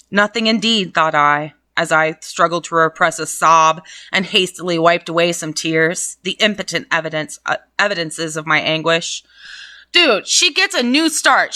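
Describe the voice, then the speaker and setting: A woman's voice: medium pitch, bright, forceful tone, no echo. A woman reading a classic novel aloud chapter by chapter as host, breaking off for blunt, profane and mocking comments on the story. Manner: blunt, swears freely, mocking. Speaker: reader and host.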